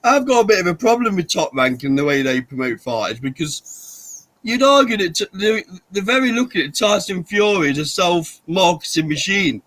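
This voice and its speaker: A man hosting a boxing chat show, with a somewhat high voice for a man. He chimes in with short remarks and passes the conversation to his guests. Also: very animated, moderate pace, fairly bright tone, low-quality recording. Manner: short agreements and hand-offs to guests. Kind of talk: casual chat.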